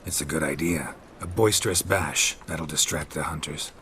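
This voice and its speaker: An actor playing a gruff, weary monster hunter in a video game, terse and deadpan with a low gravelly voice. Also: male voice, moderate pace, slight background noise. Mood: dry, wry. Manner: terse, deadpan, clipped sentences. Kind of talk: video game dialogue acting.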